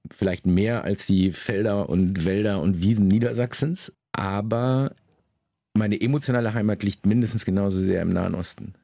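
A man being interviewed about his novel, answering in a measured, reflective way with frequent pauses and restarts. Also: on the low side, creaky, dark tone, no room sound, studio quality. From Germany, Lower Saxony.

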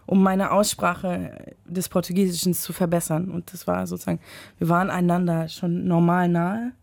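A young woman speaks in a reflective, measured way with many pauses and fillers. Her voice is mellow and warm. The studio sound is dry and close. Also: lowish voice, little pitch movement, vocal fry, no room sound. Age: young adult. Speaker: interview guest. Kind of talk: casual chat. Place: radio studio.

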